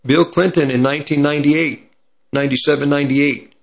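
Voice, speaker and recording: A man with a slightly raspy voice from hay fever, giving prophecy commentary as he reads from an article and stops to correct himself. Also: fairly high pitch, fairly even intonation. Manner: pauses to correct himself mid-sentence.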